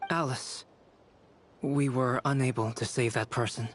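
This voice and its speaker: An actor playing a composed, sober man in a video game, reporting in a formal, measured way. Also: medium pitch, fairly even intonation, close to an everyday voice.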